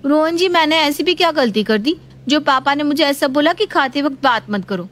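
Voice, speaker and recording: Young daughter-in-law, high voice, naive chatterbox with a childlike streak, put on by a woman storyteller. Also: animated, moderate pace, a bit bright. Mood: puzzled, protesting. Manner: questioning, talkative.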